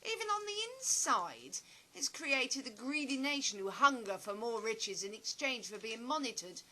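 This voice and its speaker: An actress playing an eccentric, nervous former actress in a dystopian audio play, rambling with theatrical emphasis. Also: female voice, fairly high pitch, sing-song, moderate pace, sharp tone, heavily acted, low-quality recording. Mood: earnest, warning.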